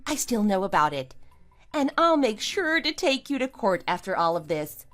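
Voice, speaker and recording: A woman's voice: medium pitch, big pitch swings, moderate pace, a bit bright, faint music behind. A woman playing a scornful, triumphant wife in an audio drama, with a sarcastic, emphatic delivery. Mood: firm, accusing. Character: wronged wife, scornful and triumphant, kicking out cheating husband. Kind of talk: amateur audio drama acting.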